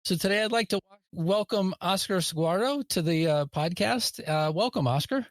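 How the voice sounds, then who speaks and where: A man's voice: high voice, moderate pace, no room sound, clean studio sound. A man hosting a podcast, asking questions with hesitant fillers and restarts. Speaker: podcast host. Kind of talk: interview questions.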